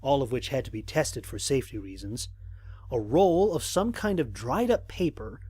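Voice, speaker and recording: A man playing a curious, methodical space explorer in an audio drama, narrating his mission log in a measured, formal way. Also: medium pitch, darkish tone, slightly rough, no echo.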